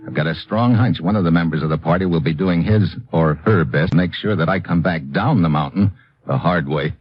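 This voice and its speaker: An actor playing a tough, wry American agent in clipped, sardonic old radio detective style. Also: male voice, deep voice, brisk, lightly acted. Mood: tense, hardboiled. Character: tough, wry American male agent narrating his own adventure. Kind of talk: 1950s radio detective acting. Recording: muffled old radio recording with music.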